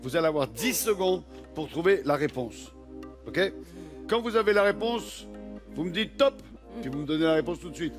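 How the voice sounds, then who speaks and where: A man hosting a TV game show, teasing the guests and the contestant in quick, playful banter. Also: on the high side, expressive. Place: TV studio with audience. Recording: studio with music and crowd noise.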